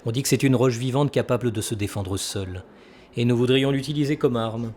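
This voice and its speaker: An actor playing a calculating senator who persuades with measured authority in an audio drama. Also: male voice, medium pitch, a little mellow, slight background noise, natural voice. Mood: conspiratorial. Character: calculating, persuasive male senator and military schemer.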